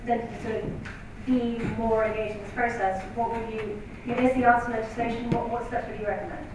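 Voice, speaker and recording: A woman moderating a panel discussion in a conversational, brisk way. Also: a little high, little pitch movement. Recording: echoey hall, distant mic, background noise.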